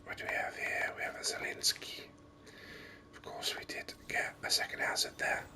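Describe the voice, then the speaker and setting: Whispering man, bright and crisp hiss. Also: normal speed. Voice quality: breathy, unvoiced whisper. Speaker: gaming video host. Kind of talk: whispered ASMR game commentary.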